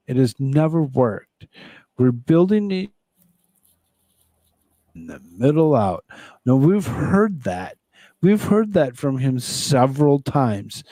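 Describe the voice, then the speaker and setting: A man presents casual political commentary in a soft-edged, mellow voice. He moves between reading articles and rambling conversational reactions. The recording is very dry, with little room sound. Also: mid-range voice, no echo. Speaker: host of a political news show.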